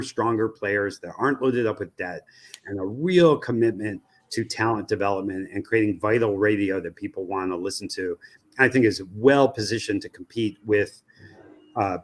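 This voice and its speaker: An American man giving analytical answers as an expert guest in an interview. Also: on the low side. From United States. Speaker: interview guest, radio research company president.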